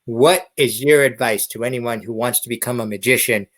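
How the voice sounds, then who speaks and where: A man's voice: mid-range voice, moderate pace. A man hosting a podcast interview in a friendly, conversational style, putting questions to a guest.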